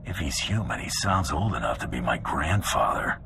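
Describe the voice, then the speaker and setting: An actor playing a gruff, cocky male bounty hunter champion in a video game, terse and dry. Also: lively intonation, normal speed, bright, forceful tone, slight background noise, exaggerated voice. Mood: cool, cocky. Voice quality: very deep voice.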